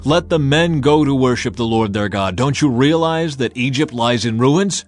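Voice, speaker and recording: Male narrator as anxious court official, urgent and appealing, middle pitch, scripture drama with music. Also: moderate pace, close to an everyday voice. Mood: exasperated appeal. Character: anxious royal officials appealing to their king.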